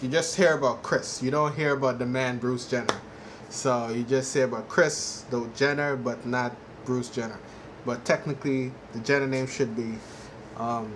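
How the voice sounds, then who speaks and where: A man giving casual, rambling fan commentary in a halting, repetitive way, often leaning on "you know". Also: on the high side, fairly bright tone. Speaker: fan vlogger giving a TV show reaction. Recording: clearly noisy amateur recording.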